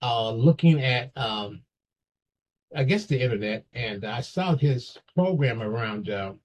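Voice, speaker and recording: A man hosting a casual interview show, talking haltingly with many fillers and restarts. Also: medium pitch, lively intonation, breathy edge, low-quality recording. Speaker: host.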